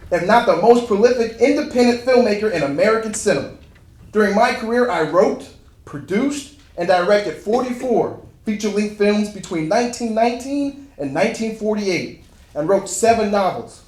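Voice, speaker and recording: Man acting as proud pioneering filmmaker, high voice, formal measured monologue, echoey live room. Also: darkish tone. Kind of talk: first-person historical monologue, read aloud. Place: indoor room at a live reading event.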